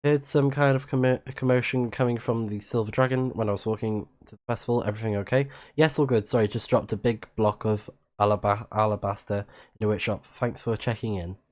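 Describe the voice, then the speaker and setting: A man with a fairly low voice plays through a game on stream. He talks casually, muttering reactions and stumbling a little as he reads text aloud. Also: steady tone, darkish tone. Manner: muttering reactions, halting when reading aloud. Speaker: video game livestreamer. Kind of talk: casual let's play commentary, reading on-screen text aloud.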